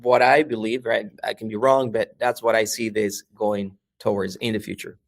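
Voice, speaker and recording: A man's voice: fairly low pitch, little pitch movement, moderate pace, gravelly. A man with a Mexican American accent, a language educator talking casually as an interview guest, often checking in with "right?" between thoughts. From New York, USA. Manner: frequent "right?" and "uh" fillers, restarts.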